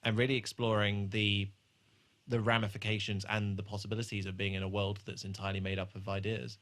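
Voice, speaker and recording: A man with a fairly low voice hosts a podcast. He talks in a casual, conversational way as he discusses an episode. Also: little pitch movement, brisk, a bit bright. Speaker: podcast host.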